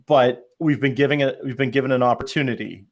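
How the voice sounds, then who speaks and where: A man's voice: medium pitch, fast. A middle-aged man talking informally as a panelist on a podcast. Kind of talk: casual chat.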